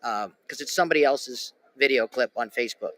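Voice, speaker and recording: A man's voice: mid-range voice, fairly quick, fairly bright tone. A man hosting a casual sports betting talk show, rambling with many restarts and fillers. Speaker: podcast host. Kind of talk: casual chat.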